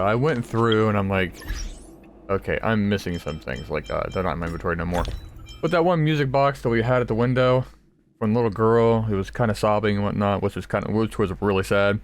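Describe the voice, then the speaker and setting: A man giving casual, rambling let's play commentary, halting as he goes and swearing casually. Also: lowish voice, a little hiss or hum. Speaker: gaming video creator.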